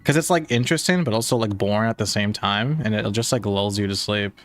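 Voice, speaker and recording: Young man, slightly nasal, lowish voice, rambling stream chat, faint music behind. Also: fast. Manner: rambling, many "like" fillers, laid-back. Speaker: game streamer. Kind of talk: casual livestream chat reading chat.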